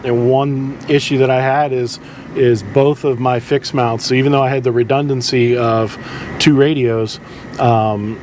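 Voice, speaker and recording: Male boat captain presenter, mid-pitched, conversational and halting, casual how-to delivery, faint steady hum behind him. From southeastern United States. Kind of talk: casual instructional vlog.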